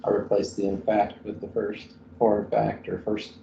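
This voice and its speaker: A man reads aloud slowly and hesitantly, stopping between words. His low voice is gravelly and mellow. He is heard in an echoey room on a dull, muffled recording. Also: monotone, a little hiss or hum. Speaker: class member reading Greek text. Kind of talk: slow halting reading aloud.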